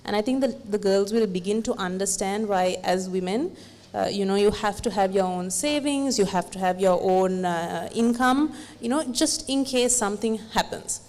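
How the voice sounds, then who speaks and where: A woman's voice: medium pitch, normal speed, darkish tone. A woman panelist giving thoughtful remarks in a discussion, with frequent fillers and restarts. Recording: long hall reverb.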